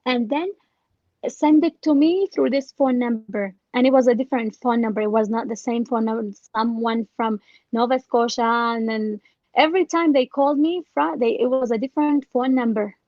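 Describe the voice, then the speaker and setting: High-voiced woman, dull lo-fi sound. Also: normal speed, dark tone, dry recording. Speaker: interview guest, scam victim. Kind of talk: casual personal account.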